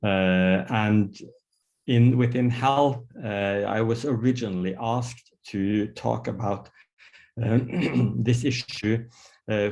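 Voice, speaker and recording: A man's voice: on the low side, a little mellow. Hesitant Norwegian man giving a talk. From Norway. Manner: hesitant, many fillers and restarts. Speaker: psychiatrist giving a workshop talk. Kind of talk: online workshop presentation. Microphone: video call.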